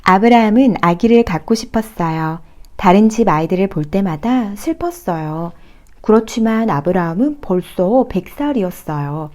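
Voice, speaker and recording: A female storyteller with a soft-toned, mellow voice at a medium pitch. She reads children's Bible stories gently, explaining things as if to little ones. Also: animated, moderate pace.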